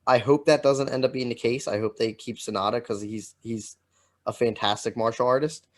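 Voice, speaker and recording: Man with mid-pitched voice, rambling casually. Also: steady tone. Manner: halting, rambling delivery peppered with "like". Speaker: podcast co-host. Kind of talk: casual chat.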